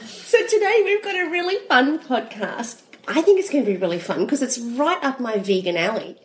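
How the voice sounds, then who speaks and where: A woman hosting a podcast, her voice nasal and stuffy from the flu. She talks playfully with a lively, swooping pitch and laughs often. The room has an echoey ring. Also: normal speed. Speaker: podcast co-host. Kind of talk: casual chat.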